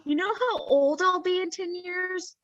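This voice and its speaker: A woman in her forties, a podcast guest reacting in a bubbly, quick way. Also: very high-pitched, a bit bright, no echo. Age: 40s. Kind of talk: casual chat. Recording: dull, muffled dry audio.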